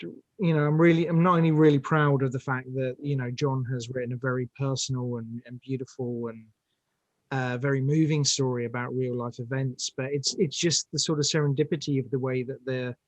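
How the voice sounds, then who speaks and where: A man's voice: mid-range voice, no echo. A British man talking as an interview guest, enthusiastic but searching for his phrases as he goes. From United Kingdom. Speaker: interview guest, audio drama director. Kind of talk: conversational interview.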